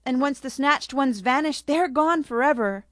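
A woman voicing a small female pygmy marmoset, shrill, sobbing and desperate. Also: normal speed, fairly bright tone, dry recording, clean studio sound. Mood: shrieking.

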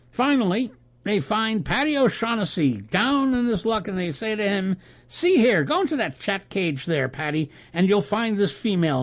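Jovial reporter in an audiobook , acted by a male narrator: halting raconteur, raised lively pitch, slightly husky. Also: heavily acted. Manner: halting, rambling raconteur with asides. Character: jovial wire-service reporter spinning a barroom joke.

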